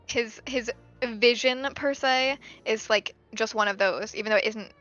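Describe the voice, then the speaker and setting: High, bright young woman on lo-fi audio. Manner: hesitant, halting phrasing with repetitions. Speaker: gamer commentator. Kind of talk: casual gameplay chat. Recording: dull, lo-fi voice chat with music.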